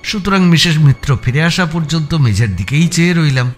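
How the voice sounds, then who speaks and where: Male narrator, dramatic suspense storytelling, lively wide-ranging intonation, measured delivery, faint background music. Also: fairly high pitch. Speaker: story narrator, also voicing the protagonist.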